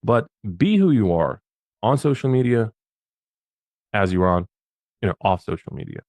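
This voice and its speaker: A man's voice: on the low side, very animated, normal speed, a little mellow, no echo. A man from Bosnia, a podcast guest, gives social media advice in a casual, emphatic way and often repeats his words.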